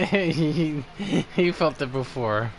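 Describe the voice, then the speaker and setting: A man hosts a gaming stream in casual, reactive chat. His voice sits fairly high and moves around a lot in pitch. Faint noise runs under the recording. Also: lively intonation, very slow. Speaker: livestreamer, host.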